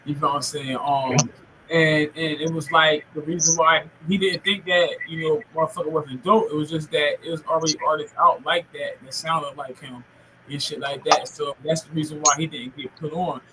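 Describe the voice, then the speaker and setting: A man's voice: a little high, little pitch movement, normal speed, background noise. A man in his forties hosting a podcast in casual, rambling, slangy and profane talk. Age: 40s. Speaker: podcast host. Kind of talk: casual interview chat.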